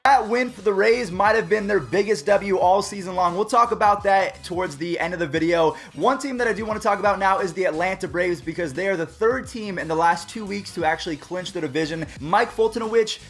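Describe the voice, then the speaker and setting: A young man hosting a casual baseball recap to camera, upbeat and chatty, his voice slightly raspy. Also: high-pitched, fairly quick, hardly any pauses, fairly bright tone, faint music behind. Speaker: YouTube baseball recap host.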